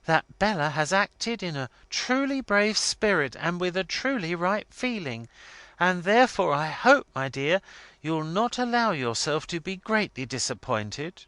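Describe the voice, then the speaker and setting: A man's voice: high voice, very animated, measured pace, bright, forceful tone, clean tone, no room sound, voice pushed up, full character voice. A man voicing a submissive middle-aged father in a storybook, mild and conciliatory in manner. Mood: meek appeasement.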